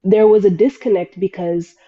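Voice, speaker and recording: A woman's voice: mid-range voice, fairly even intonation, normal speed, slightly rough, narrow, tinny audio. A young woman who grew up between Sudan and the United States, talking reflectively as a guest and often restarting her sentences. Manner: reflective, searching for words with many restarts. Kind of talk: casual interview talk.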